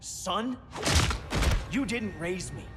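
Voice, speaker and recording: A man's voice: sits high, lively intonation, extremely slow, loud music behind the voice, heavily acted. An actor playing a cocky, defiant young male sidekick in a video game, sarcastic and snide. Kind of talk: dramatic video game acting.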